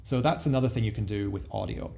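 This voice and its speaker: Mellow man giving a creaky-voiced software demo. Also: lowish voice, fast. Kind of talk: casual product walkthrough.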